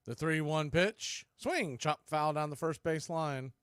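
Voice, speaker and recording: A man doing live radio-style baseball play-by-play as the announcer, making clipped pitch calls in short phrases. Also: measured pace, a bit bright, clean tone, no echo, studio quality.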